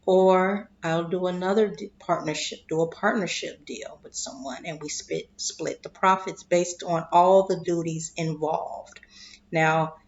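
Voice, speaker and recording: A woman explaining investing in a slow, deliberate way, clearing her throat and losing her voice, often checking in with "okay?". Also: on the low side, muffled recording. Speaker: investing vlogger. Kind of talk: casual explanatory monologue.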